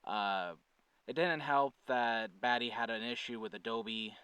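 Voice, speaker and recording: A man with a smooth, resonant voice talks casually to his viewers as the channel's host. He is earnest and conversational, working out his sentences as he goes. The sound is dry with little room, and he sits a little away from the mic. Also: mid-range voice, unhurried, bright, forceful tone, no echo, recorded from a distance, rough recording. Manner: earnest, conversational, thinking aloud with self-corrections. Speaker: channel creator and host, writer-producer. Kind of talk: casual update talk to viewers.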